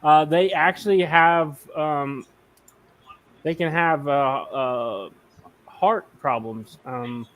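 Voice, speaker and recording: A man's voice: on the high side, takes it slowly, a bit bright. A middle-aged man hosting a casual live chat, joking and laughing often as he talks. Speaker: livestream host.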